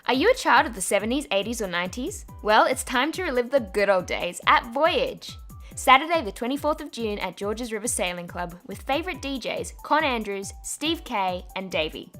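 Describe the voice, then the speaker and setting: A woman reads upbeat event listings in a chirpy, promotional way. Her voice is bright and a little high. Faint music plays under her. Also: lively intonation, moderate pace. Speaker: events segment presenter. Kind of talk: upbeat scripted announcements.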